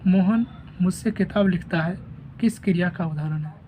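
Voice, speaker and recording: A man with a sore, rough throat reads through an answer key at a steady, repetitive pace. His voice is dark and mellow, with a faint hiss of noise behind it. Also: sits high, moderate pace, poor audio. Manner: steady, repetitive, reads each question then gives the answer and option. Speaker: teacher going over an exam answer key. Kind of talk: reading out quiz answers.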